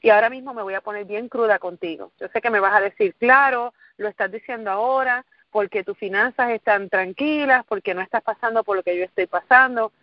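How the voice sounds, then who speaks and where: A middle-aged Puerto Rican woman speaks in a direct, earnest motivational manner and leans on repeated phrases to drive each point home. Her voice sits in an ordinary middle pitch, and the recording is dry and dull, like lo-fi call audio. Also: fairly quick, hardly any pauses, dry recording. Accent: Puerto Rican Spanish. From Puerto Rico. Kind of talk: motivational sales talk. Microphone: recorded call or conference line.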